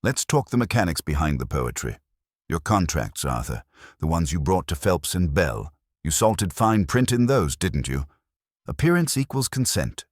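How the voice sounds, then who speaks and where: A man's voice: low-pitched, very animated, moderate pace, no echo, studio quality. A man voicing a shrewd detective's assistant who needles and accuses in a wry, pointed, clipped way. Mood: accusing.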